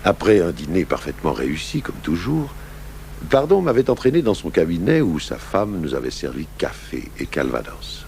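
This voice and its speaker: An actor playing a seasoned police commissioner who narrates an old case in a measured, reflective way for a radio drama. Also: male voice, big pitch swings, darkish tone. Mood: reflective, sombre. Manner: measured, reflective, literary phrasing. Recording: hissy 1980s radio recording, low hum.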